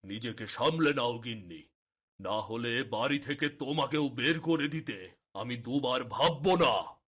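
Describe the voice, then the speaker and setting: An actor playing a proud, harsh middle-aged village landlord father in a cartoon story, with a husky voice and commanding delivery. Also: mid-range voice, lively intonation, unhurried, a bit bright, slight background noise. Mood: angry, threatening. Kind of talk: Bengali cartoon story acting.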